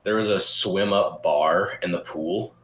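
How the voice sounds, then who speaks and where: A man's voice: sits low, a little slow, reverberant, low-quality recording. A young man hosting a podcast, telling a story in a loose, rambling way with lots of restarts and casual swearing. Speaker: podcast host.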